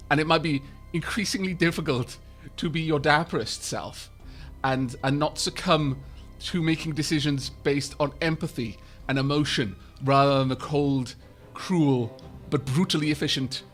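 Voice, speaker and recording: A man's voice: on the high side, a bit bright. A man chatting on a live gameplay stream in a wry, rambling way, thanking viewers by name.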